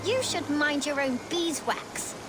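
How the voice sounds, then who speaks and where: Brief: brash young street thief girl, very high childlike voice, slangy taunts, game cutscene over noisy ambience. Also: takes it slowly. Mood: brash, defiant. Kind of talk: video game cutscene acting.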